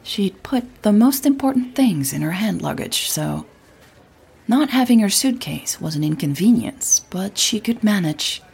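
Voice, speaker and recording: A woman narrating a scripted audio drama in a measured, understated, wry storytelling manner. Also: mid-range voice, animated, gravelly, a little mellow, breathy edge.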